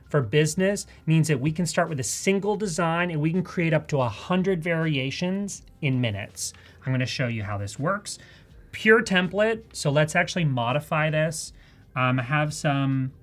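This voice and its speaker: Female live tutorial host, Canadian accent, low-lying voice for a woman, chatty and keen, conversational delivery. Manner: chatty, enthusiastic. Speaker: presenter of a live software tutorial. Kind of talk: live demonstration, casual and conversational.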